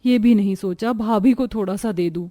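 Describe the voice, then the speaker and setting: A woman's voice: medium pitch, moderate pace, warm tone, natural voice. Woman acting a weary, meek young pregnant sister-in-law. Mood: weary, resigned. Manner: weary, polite, complaining. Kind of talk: acted Hindi cartoon moral story.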